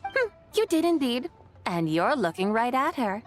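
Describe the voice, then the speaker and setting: Aloof, haughty immortal woman, video game, formal and deliberate, expressive in pitch. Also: faint music behind. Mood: haughty, amused. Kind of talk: English video game voice acting.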